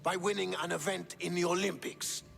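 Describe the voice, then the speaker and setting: Gravelly, hot-tempered warrior king. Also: high voice, level tone, normal speed, fairly bright tone, exaggerated voice. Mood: scornful command. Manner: scornful, commanding. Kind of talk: dramatic video game acting. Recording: long hall reverb, music underneath.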